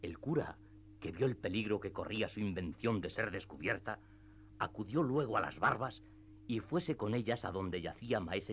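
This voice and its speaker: A man's voice: fairly low pitch, fairly bright tone, dull audio, a little hiss or hum. A man narrating a classic literary tale as the scripted narrator of a radio theatre piece.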